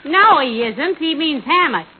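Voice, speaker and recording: An actress playing a kindly, wry, sensible wife with a folksy manner in 1940s radio comedy style. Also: female voice, high voice, lively intonation, a little slow. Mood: gently correcting. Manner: folksy, wry, gently correcting. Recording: tinny, noisy 1940s radio recording.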